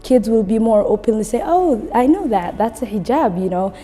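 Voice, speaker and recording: A woman's voice: on the high side, lively intonation, normal speed, dark tone. A woman in her twenties from Somalia, talking casually and conversationally about a book, often saying "you know.". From Somalia, later lived in Kenya. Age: 20s. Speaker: recent graduate, guest book reader. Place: indoor room with wood-panel wall, seated. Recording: reverberant room with background music.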